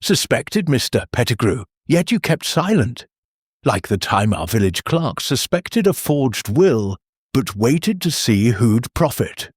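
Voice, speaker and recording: Elderly village lady detective, played by a man, calm, cutting, slightly husky, audiobook mystery. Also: mid-range voice, a little slow, no room sound, studio quality. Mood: probing. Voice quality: lilting. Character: elderly village spinster sleuth, placid, shrewd, relentless.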